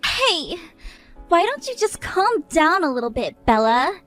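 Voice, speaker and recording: A woman plays a tipsy young woman with a high, bouncy voice that swoops all over as she taunts in a sing-song lilt. Her cackles keep spilling through every jab. A faint wash of music sits underneath. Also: very animated, a little slow, a bit bright, exaggerated voice. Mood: drunk mocking. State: drunk. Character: drunk, giggling, mocking young woman.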